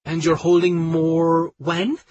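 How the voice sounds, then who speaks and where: A man voicing a brisk, ambitious female executive colleague who talks in a pushy, demanding way. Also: sits low, level tone, a little mellow, muffled recording, a little hiss or hum, pitched above the performer's own voice. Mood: suspicious.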